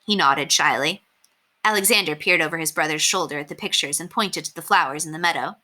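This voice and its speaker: A woman narrating a children's book aloud as an expressive storyteller. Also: sits low, a little fast.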